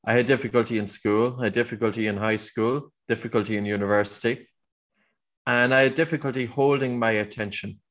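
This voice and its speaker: A man's voice: mid-range voice, steady tone, fairly quick, fairly bright tone. A middle-aged man with an Irish accent, a guest on a podcast, earnestly explaining his work with a few restarts. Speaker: guest, breathing teacher. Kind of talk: conversational interview answer.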